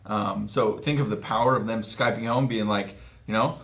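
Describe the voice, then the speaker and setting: A man's voice: fairly low pitch, steady tone, normal speed, creaky, a bit bright. A man in his twenties talking casually as an interview guest in a small meeting room. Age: 20s. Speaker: author and guest. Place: small office meeting room, seated at a table.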